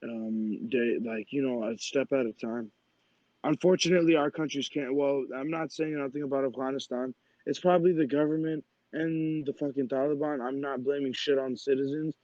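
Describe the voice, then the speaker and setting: A man's voice: mid-range voice, steady tone, normal speed, a little mellow, no echo. A young man hosting a podcast and talking in a blunt, rambling, profane way. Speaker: podcast host. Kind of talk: casual podcast chat. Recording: dull dry lo-fi sound.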